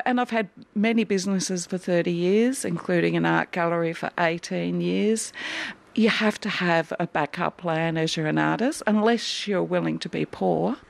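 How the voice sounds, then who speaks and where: Gravelly, low-voiced woman artist. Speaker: artist, interview guest. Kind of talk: reflective interview answers. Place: art studio.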